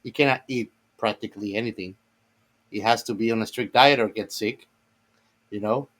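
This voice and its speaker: A man's voice: fairly low pitch, normal speed, a bit bright. A man from the Dominican Republic giving opinions in a casual discussion, repeating phrases for emphasis. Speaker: podcast panellist.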